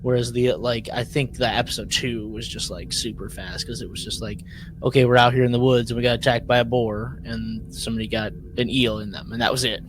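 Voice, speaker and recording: A man co-hosting a podcast in casual chat, rambling and halting, often repeating words as he works out a point. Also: mid-range voice, steady tone, slight background noise, poor audio. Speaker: podcast co-host.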